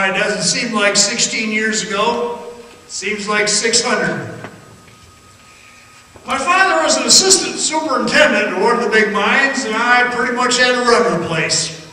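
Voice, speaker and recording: Male actor as haunted ex-mine guide, radio drama monologue. High-pitched, forceful, folksy and ominous, recorded far off in an echoing hall. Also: expressive, heavily acted. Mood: reminiscing, uneasy. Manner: folksy, rambling, ominous, halting with pauses. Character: haunted middle-aged former copper-mine guide recounting a dark tale. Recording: roomy echoing hall, distant mic, faint noise.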